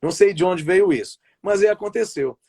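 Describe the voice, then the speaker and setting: A man from Brazil telling long stories in a relaxed interview over a video call. His voice sits a little high for a man, and he wanders through his points with frequent restarts and little tag questions. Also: a little fast, vocal fry, slightly rough. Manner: rambling, restarts often, tags sentences with "né" and "sabe". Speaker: wrestling commentator and play-by-play announcer. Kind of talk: casual interview chat over a live stream.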